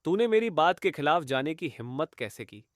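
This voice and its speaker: A man's voice: a little high, sing-song, normal speed, no echo, clean studio sound. A man voicing a cruel, domineering husband in a cartoon story, harsh and commanding. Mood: harsh angry.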